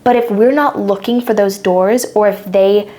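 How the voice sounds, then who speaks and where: A woman's voice: medium pitch, fairly even intonation, moderate pace, dark tone. A woman in her twenties, co-hosting a podcast and explaining ideas in a thoughtful, casual chat. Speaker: podcast co-host.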